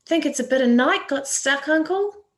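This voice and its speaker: A woman voicing a dazed, excitable country boy who talks insistently in rustic dialect in a literary story. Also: sits high, normal speed. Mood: awed.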